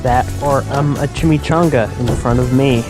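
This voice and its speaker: A man's voice: medium pitch, fairly even intonation, moderate pace. A man chatting casually and jokingly as co-commentator on a video game. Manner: jokey. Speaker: let's play co-commentator. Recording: game music behind voice. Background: video game music.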